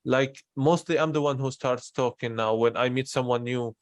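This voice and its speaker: A man's voice: mid-range voice, moderate pace, no room sound. A young man from Syria, talking candidly about himself in a personal conversation. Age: young adult. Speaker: interviewer in a conversation.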